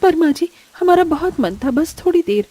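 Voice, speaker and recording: Woman acting young daughter-in-law, very high voice, earnest, polite, cartoon story, dry recording. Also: lively intonation, normal speed, warm tone, no room sound. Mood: pleading. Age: young adult.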